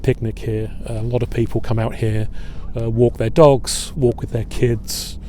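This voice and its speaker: A middle-aged male historian gives a walking-tour talk outdoors by the water. His voice is dark and mellow, set at an ordinary pitch for a man. He speaks in a relaxed, halting way, filling pauses with ums and uhs, over a faint wash of outdoor noise. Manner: relaxed, hesitant, with many filler pauses. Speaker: historian and battlefield guide.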